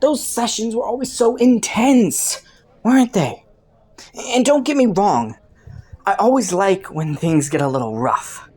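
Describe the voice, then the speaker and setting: A woman's voice: medium pitch, expressive, measured pace, husky. A woman playing a flirty, taunting underworld criminal in a roleplay audio, with a playful, teasing drawl. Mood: teasing, intrigued. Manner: playful, teasing drawl with frequent soft chuckles.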